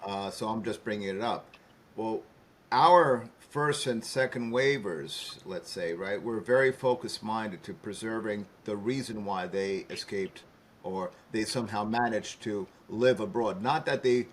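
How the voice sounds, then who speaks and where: A man's voice: on the low side, unhurried. A man co-hosting a podcast who chats casually and keeps checking in with "right?". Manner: chatty, keeps asking "right?". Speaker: co-host.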